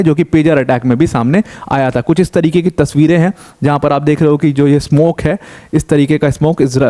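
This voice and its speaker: Young male news explainer, warm, slightly raised pitch, conversational, throws questions at the viewer. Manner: conversational, asks rhetorical questions, mixes in English terms. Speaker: current affairs educator.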